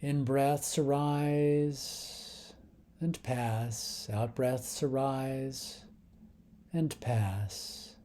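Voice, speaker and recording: Very dark, mellow, slow male meditation guide. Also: mid-range voice. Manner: calm, unhurried, with long pauses between short phrases.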